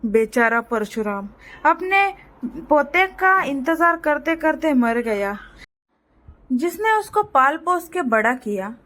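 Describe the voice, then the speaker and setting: Woman, high pitch, storyteller, scripted narration, cartoon moral story. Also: big pitch swings, slow pace, a bit bright.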